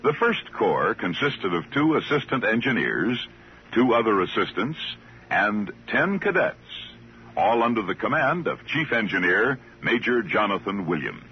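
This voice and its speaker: A man's voice: on the low side, big pitch swings, unhurried. An American man giving formal, declamatory scripted narration as a vintage radio announcer. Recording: dull, hissy old radio recording.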